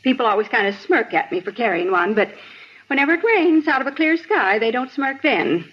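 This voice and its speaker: A woman's voice: on the high side, very animated, brisk. A woman playing a fussy, chatty older bank clerk who rambles indignantly, in a 1950s radio drama. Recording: muffled 1950s radio recording, faint hiss.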